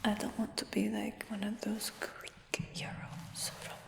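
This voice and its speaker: A woman playing a smug, doting human dragon hunter in a fantasy ASMR roleplay, whispering in a coaxing, gently boastful way. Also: mid-range voice, monotone, measured pace, vocal fry. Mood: proud, teasing. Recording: echoey whisper with long cave-like reverb.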